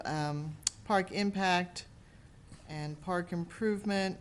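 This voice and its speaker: A woman's voice: deep voice, a little hiss or hum. A woman acting as a city finance officer, explaining a budget amendment at a public meeting in a plain, matter-of-fact way.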